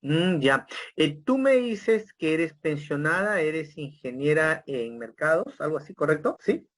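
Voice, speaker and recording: A man's voice: a little high, expressive, measured pace. A man hosting an interview, friendly and hesitant with fillers. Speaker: interview host.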